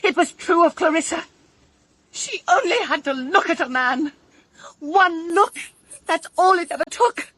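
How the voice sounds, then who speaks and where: Bitter jilted british woman, high voice, scornful n self-pitying, dry radio drama sound. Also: animated, a little slow, fairly bright tone, no echo, full character voice. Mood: resentful. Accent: British English. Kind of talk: full-cast audio drama acting.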